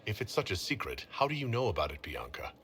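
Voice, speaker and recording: An actor playing a composed, commanding leader in a fantasy video game, speaking in a measured, authoritative way. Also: male voice, on the low side, big pitch swings, fairly bright tone, a little hiss or hum. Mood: questioning, resolute.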